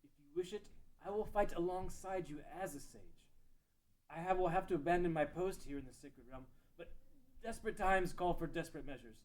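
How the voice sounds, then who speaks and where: A man's voice: high voice, brisk, darkish tone, close to an everyday voice, poor audio. A man playing a solemn, blunt ancient sage who guards a sacred realm, in a fantasy table read. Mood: resolved. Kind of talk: table read of a fan-written audio drama.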